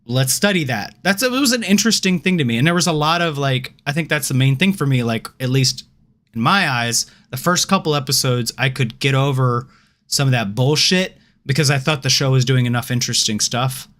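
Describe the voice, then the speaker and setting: A man's voice: medium pitch, expressive, brisk, fairly bright tone. A man co-hosting a podcast, chatting casually in an informal, sweary way and often saying "like". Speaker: podcast co-host. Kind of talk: casual chat about TV and games.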